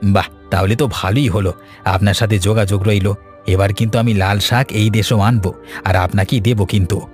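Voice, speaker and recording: A man's voice: on the low side, steady tone, brisk, natural voice. A man voicing a grateful, generous travelling merchant in a cartoon story. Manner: polite, earnest. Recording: music bed behind.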